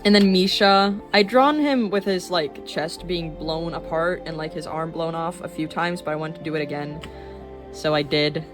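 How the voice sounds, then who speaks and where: A woman of twenty-one chatting casually in a rambling way while showing her sketchbook, with fillers and quick laughs. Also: low-pitched. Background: soft background music.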